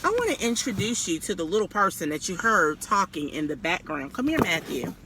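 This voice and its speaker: A woman's voice: fairly low pitch, big pitch swings, brisk. A woman reading a children's story aloud outdoors, theatrical and speaking directly to young listeners. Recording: clear background noise, outdoors.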